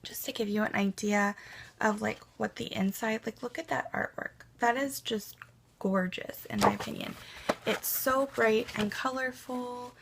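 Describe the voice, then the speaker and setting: Young woman vlogger, slightly hoarse from a cold, gravelly and a bit high, chatty with ums and soft asides. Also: fairly even intonation, measured pace, noisy background. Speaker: beauty vlogger. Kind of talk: casual haul chat to camera. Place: bedroom at home.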